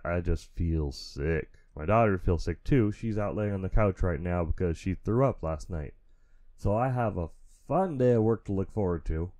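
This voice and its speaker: A man hosting his own show, rambling casually and frankly while feeling sick and stuffy, into a studio mic at home. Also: mellow. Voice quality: naturally deep voice. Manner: rambling, frank, chuckles often. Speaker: podcast host. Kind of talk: casual solo chat. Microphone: studio mic through audio interface.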